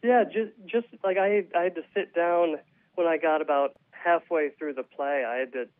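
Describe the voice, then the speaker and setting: Higher-voiced man on a tinny phone line. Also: fairly even intonation, moderate pace. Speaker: playwright, interview guest. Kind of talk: conversational interview. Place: phone call to a radio show.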